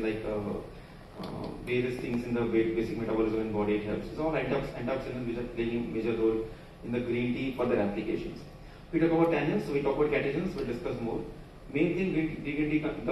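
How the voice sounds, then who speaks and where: Indian English man, quick conference talk, restarts and fillers, booming hall reverb, distant mic. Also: a little mellow, a little hiss or hum. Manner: loose phrasing with frequent restarts and fillers. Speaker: conference speaker. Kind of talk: conference presentation. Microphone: podium microphone, recorded from far camera. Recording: echoey hall from far off.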